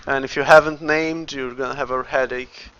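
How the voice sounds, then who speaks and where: A man's voice: medium pitch, fairly even intonation, normal speed, a bit bright, smooth, highs cut off. A Brazilian man with a Brazilian Portuguese accent, a game developer casually talking through his work on a livestream and muttering each step to himself. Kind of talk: casual livestream, thinking aloud while working.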